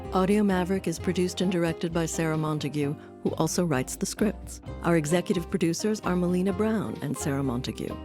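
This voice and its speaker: A woman narrating a radio-history documentary in a measured, expressive scripted read. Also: fairly low pitch. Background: music.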